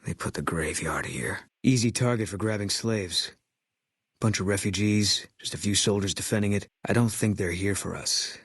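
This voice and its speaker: An actor playing a terse, guilt-haunted ex-army sniper in a video game, deadpan and clipped, with a low husky voice. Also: male voice, normal speed, dry recording, clean studio sound. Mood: terse, watchful. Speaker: video game companion character.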